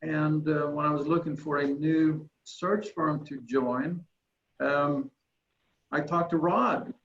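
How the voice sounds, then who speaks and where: A man from Sweden introduces himself casually in a fairly high, creaky voice. He pauses often as he goes. The call audio is dull and carries the echo of the room. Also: steady tone. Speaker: executive search consultant, meeting participant. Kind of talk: casual self-introduction on a group video call. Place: indoor room, video call.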